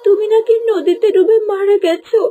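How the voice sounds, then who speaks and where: A woman's voice: extremely high pitch, normal speed, mellow, slight background noise. A woman voicing a loyal young daughter in a plaintive, theatrical manner for a fairy-tale cartoon. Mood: tearful, shocked. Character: young daughter, tearful and loyal; also scheming impostor princess.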